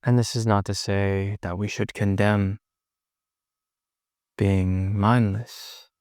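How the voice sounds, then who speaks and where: A man leading a guided meditation in a soothing manner, pausing often between short phrases. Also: on the low side, flat delivery, darkish tone, no echo, clean studio sound. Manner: slow, pausing, soothing delivery.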